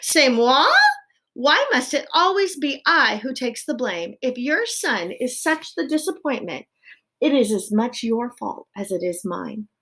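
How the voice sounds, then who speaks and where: A woman's voice: mid-range voice, normal speed, gravelly, few pauses, warm tone, close to an everyday voice. A woman voicing a haughty, dismissive mother mouse in a storybook. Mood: offended. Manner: indignant, dismissive, foreign phrasing.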